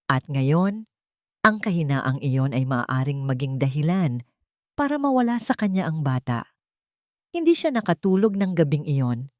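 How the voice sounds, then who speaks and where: Low-voiced woman narrating a dramatic story. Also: very animated, measured pace, no echo, studio quality.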